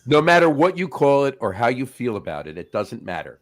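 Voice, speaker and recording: A man's voice: medium pitch, very animated, brisk. A man co-hosting a beer show, joking around and reading out viewer comments in a casual way. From North Jersey, US. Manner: jokey, reads out viewer comments. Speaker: show co-host. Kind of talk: casual podcast chat.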